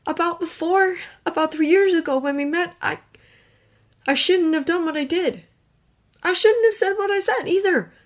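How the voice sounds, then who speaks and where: A woman voicing an earnest, remorseful young man who speaks in a high, strained, pleading voice. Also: moderate pace.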